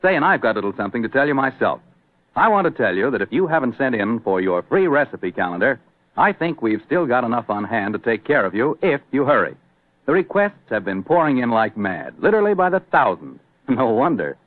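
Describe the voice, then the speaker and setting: A man's voice: mid-range voice, very animated, a little fast, no room sound, phone-quality sound. A thin vintage radio recording of an American male announcer. He hosts and sells in polished, folksy 1940s style, with a widely swinging melody and a dry, close sound. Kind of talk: 1940s radio sponsor pitch and hosting.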